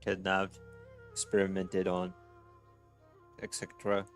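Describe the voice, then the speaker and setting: A man's voice: low voice, monotone, a little slow. A man giving casual live reactions as a game streamer, in laconic muttered asides. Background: game music.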